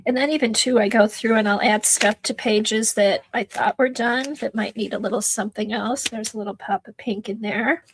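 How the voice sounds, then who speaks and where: A middle-aged woman with a soft voice, chatting slowly and quietly while she works on an art journal during a livestream. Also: a little high, fairly even intonation, slightly rough, no room sound, poor audio. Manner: soft-spoken, slow, drifting into murmurs while working. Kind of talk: casual livestream chat while crafting.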